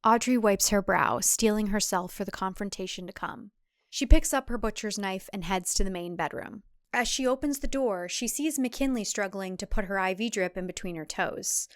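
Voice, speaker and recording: A woman's voice: mid-range voice, moderate pace, no room sound, studio quality. A woman narrator reading a scripted thriller in a dramatic storytelling style.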